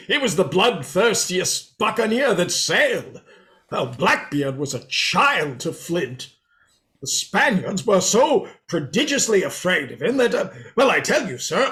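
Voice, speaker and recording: Radio drama squire: male actor, grand blusterer, animated swoops, bright forceful tone. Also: high voice, full character voice. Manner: pompous, exclamatory. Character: blustering, hearty country squire, grand and excitable. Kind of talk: radio play reading.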